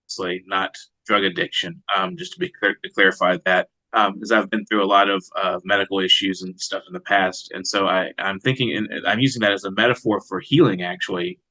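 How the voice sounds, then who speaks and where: A man speaking as a poet in an interview, reflective and measured in his manner. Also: low voice, little pitch movement, sharp tone, rough recording. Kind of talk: interview answers and poetry reading.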